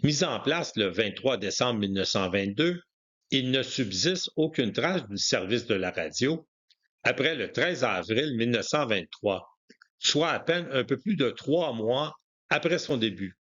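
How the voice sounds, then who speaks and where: A man's voice: medium pitch, animated, measured pace, a bit bright, lo-fi sound. A man reading scripted historical narration as a documentary narrator.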